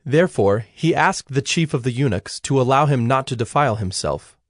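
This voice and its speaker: A man narrating a scripted, dramatized scripture reading in a measured, solemn manner. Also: mid-range voice, lively intonation, dry recording, clean studio sound. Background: instrumental music.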